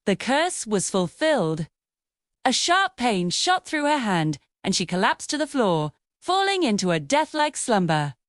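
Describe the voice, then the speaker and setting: A woman's voice: fairly high pitch, dry recording, clean studio sound. A woman reading a scripted fairy tale for children as the storyteller.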